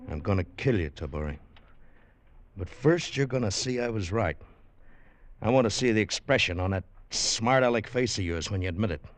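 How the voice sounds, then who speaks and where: A man acting a tall, embittered, unstable Marine in 1940s radio style, with rough, slangy, hesitant soldier talk. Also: lowish voice, lively intonation, normal speed, dry recording, lightly acted. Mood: menacing. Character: tall embittered Marine gone half-crazy, threatening yet lovesick. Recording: old-time radio broadcast recording.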